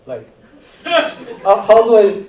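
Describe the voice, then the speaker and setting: A man with a non-native English accent doing a stand-up set, self-deprecating and halting, laughing at his own jokes. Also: sits high, huge pitch swings, a little mellow. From Brazil. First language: not English. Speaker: stand-up comedian. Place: comedy theater stage. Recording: roomy live theater, mic at a distance.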